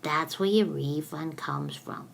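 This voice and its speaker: A woman playing a patient, motherly explainer who gently corrects and teaches in a storybook skit. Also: low-pitched, wildly swooping pitch, slow, gravelly, heavily acted. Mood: patiently explaining.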